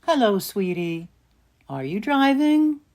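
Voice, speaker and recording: A woman's voice: mid-range voice, big pitch swings, a little mellow. A woman playing a caring, worried older mother on the phone in an audio play, speaking with affection and sympathy. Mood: affectionate concern. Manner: affectionate, sympathetic, reacts with drawn-out small phrases. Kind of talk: audio play acting, one side of phone call.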